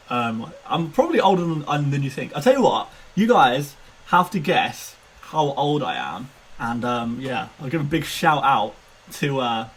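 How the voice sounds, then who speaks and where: A man's voice: a little high, fairly bright tone, husky, low-quality recording. A man in his twenties chatting casually on a live stream, self-mocking and laughing often. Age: 20s. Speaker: fantasy football streamer.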